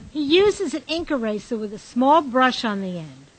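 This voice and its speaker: Woman with a high voice reading stage directions for a radio play. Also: big pitch swings, normal speed, slight background noise. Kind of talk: scripted narration for a radio drama.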